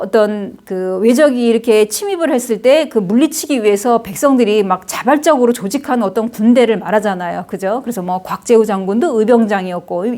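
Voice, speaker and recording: A woman's voice: fairly high pitch, darkish tone. A middle-aged woman giving a casual spoken lecture on Chinese characters, chatty, with many fillers and tag questions. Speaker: Hanja lecturer.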